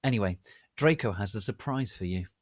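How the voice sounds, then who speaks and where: A man's voice: lowish voice, expressive, fairly quick, no room sound, studio quality. A man voicing an old headmaster wizard, chatty and eager, awkwardly trying to be cool.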